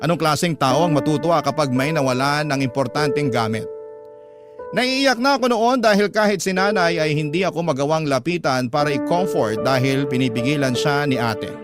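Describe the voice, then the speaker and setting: A man narrates a radio drama as a first-person letter, in a dramatic reading style. His voice is a little high, and music plays clearly behind him. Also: moderate pace.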